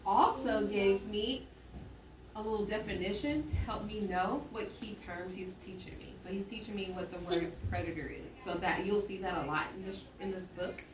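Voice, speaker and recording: A roomy, slightly noisy recording catches a woman at some distance from the mic. She is an elementary teacher leading a read-aloud for children. She muses out loud as she goes and keeps checking that her listeners follow. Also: mid-range voice, fairly even intonation, moderate pace. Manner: thinking aloud with musing hums and exclamations. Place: classroom with echo.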